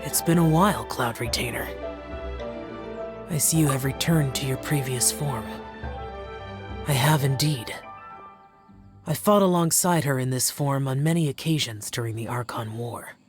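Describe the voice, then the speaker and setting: A man's voice: mid-range voice, over music, close to an everyday voice. Man playing an aloof formal guardian spirit. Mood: solemn. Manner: formal, measured, reserved. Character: aloof, stern young male guardian spirit.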